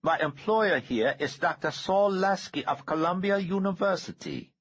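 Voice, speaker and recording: Guy reading as a creepy calm bomber, high strained voice, super slow, muffled audio. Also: no room sound. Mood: menacing. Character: menacing man with bomb, slow, cold.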